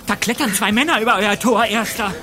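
An actor playing an excitable young male detective in a German radio play, urgent and alarmed. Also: high voice, brisk, lightly acted.

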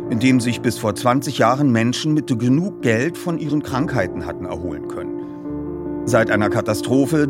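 A man hosting a feature and reading a story aloud as an expressive narrator. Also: medium pitch. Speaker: host and narrator. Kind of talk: podcast introduction and audiobook reading.

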